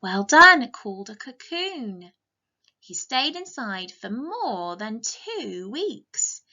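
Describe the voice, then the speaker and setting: A woman teacher reads a storybook to small children in a slightly high, very animated voice. She takes her time, sounding sing-song and encouraging as she puts questions to her young listeners. The recording is a little dull. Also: takes it slowly. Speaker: teacher reading a picture book to young children.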